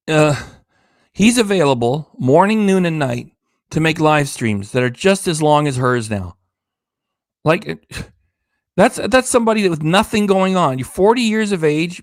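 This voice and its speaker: A man with a somewhat high voice rants sarcastically during a reaction livestream, cursing freely. He sounds tired, and his voice is starting to give out. The recording is dry and close. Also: no echo. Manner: sarcastic, indignant, swears often. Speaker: reaction channel host. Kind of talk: ranting livestream commentary.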